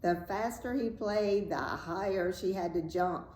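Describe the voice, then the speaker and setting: A woman reading a fairy tale aloud as a storyteller, in a measured, dramatic and expressive way. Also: fairly low pitch. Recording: roomy echo, faint background noise.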